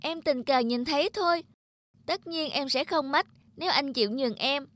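High, bossy cartoon little girl. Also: moderate pace, fairly bright tone, no room sound. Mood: insistent, scheming. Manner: insistent, cunning. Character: sly, bossy little girl, younger sister. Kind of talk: children's cartoon dubbing.

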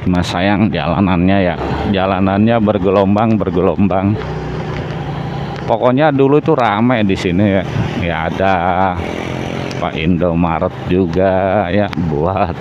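A man vlogging casually while riding a motorbike, unhurriedly pointing out buildings along the road. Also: deep voice. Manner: unhurried, pointing out sights with frequent "ya". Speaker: moto vlogger. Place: on a motorbike on city streets, outdoors. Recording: muffled with loud traffic noise. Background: traffic and engine noise.

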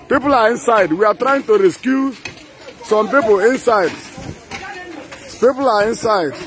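High-voiced Nigerian man amid a crowd. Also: fairly bright tone. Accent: Igbo Nigerian. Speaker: eyewitness. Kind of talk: on-scene phone video narration, repeated short phrases. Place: outdoors at a roadside. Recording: outdoor phone clip with crowd noise.

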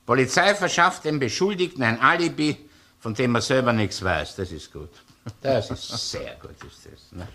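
An actor playing a gruff, shrewd senior police inspector with a Viennese accent in a crime drama, sardonic and probing. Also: male voice, lowish voice, fairly bright tone, slight background noise. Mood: wry, pondering. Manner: sardonic, interrogating, hesitant muttering between questions. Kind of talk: naturalistic crime radio or TV drama acting.

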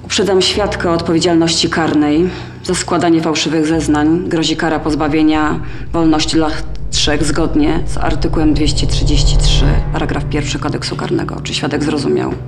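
An actress playing a judge who speaks in a formal, measured courtroom manner. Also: female voice, low-pitched, flat delivery, a little hiss or hum. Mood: stern. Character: stern female judge reading out rulings.

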